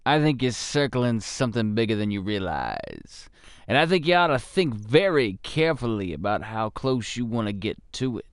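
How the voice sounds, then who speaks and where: A man voicing a cool, powerful city official in a noir audio drama, delivering veiled threats in a measured, controlled manner. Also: on the low side, very animated, dry recording, clean studio sound. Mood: cool menace. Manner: measured, smooth-worded, threatening.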